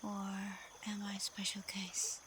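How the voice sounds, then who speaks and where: Casting: actress for female werewolf pack leader, ASMR roleplay; unhurried, hushed, assured, warmly teasing and protective, mid-pitched. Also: monotone, background noise, natural voice. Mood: teasing, intimate. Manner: soft, breathy, often whispered, unhurried. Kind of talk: slow ASMR roleplay addressed to the listener.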